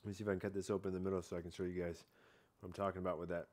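Laid-back bearded vlogger man, lower voice. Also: little pitch movement, racing, darkish tone. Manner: laid-back, rambling, hedging with "I don't know" and "like". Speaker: fishing vlogger. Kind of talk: casual catch-and-cook vlog. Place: outdoor patio at night. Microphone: head-mounted camera.

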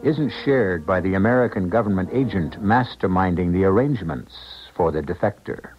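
A man hosting and narrating a 1970s radio mystery in a dramatic, measured manner. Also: sits low, expressive, slight background noise. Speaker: host narrator. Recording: muffled old radio, music behind.

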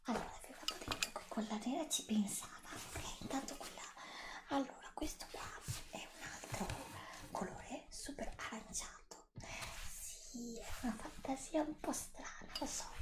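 A middle-aged woman, whispering breathily in an ASMR roleplay as a gentle, attentive clothing shop assistant advising a customer. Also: high voice, normal speed, echoey room. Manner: gentle, attentive sales patter to an imagined customer.

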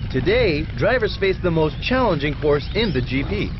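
A man playing an excited, showy race announcer in an arcade racing game, drawing out names for emphasis. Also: on the high side, normal speed, background noise. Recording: muffled lo-fi game audio under loud music. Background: loud game music.

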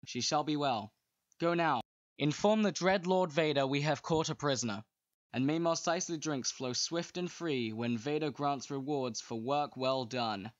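A man's voice: on the high side, unhurried, no echo, natural voice. A man playing a dutiful soldier of an evil empire, reporting in clipped archaic verse in a Shakespearean dramatic reading. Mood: brisk, dutiful. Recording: dull, muffled lo-fi microphone.

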